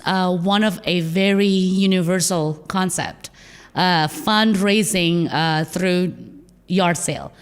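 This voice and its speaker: Female podcast host, hoarse, cheerful and questioning, reverberant room. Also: lowish voice. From Indonesia. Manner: upbeat, laughs often, asks questions. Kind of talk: casual podcast chat.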